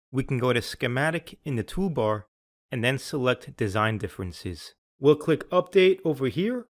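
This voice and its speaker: A man presenting a scripted software tutorial as an applications engineer, speaking in a measured, instructional way. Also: mid-range voice.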